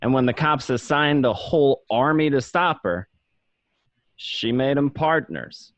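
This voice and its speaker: A man's voice: mid-range voice, unhurried, no echo, lightly acted. An actor playing a gangster who narrates his youth in crime for a radio drama read. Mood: matter-of-fact. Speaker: amateur actor. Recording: dry, dull lo-fi home recording.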